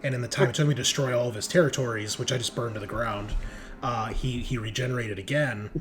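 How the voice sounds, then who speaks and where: A man's voice: medium pitch, fairly even intonation, quick pace, music in the background. A man hosting in a casual, enthusiastic way, chatting in slang with plenty of swearing. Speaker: host and game master. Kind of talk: casual chat. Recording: noticeable background noise.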